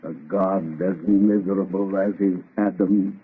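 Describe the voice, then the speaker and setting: A grave, sorrowful monster in an old radio play. The voice is low and weary, with very slow, theatrical phrasing. The sound is tinny and muffled. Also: male voice, steady tone, breathy edge. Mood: mournful, tender. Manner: solemn, theatrical, measured. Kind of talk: vintage radio drama acting.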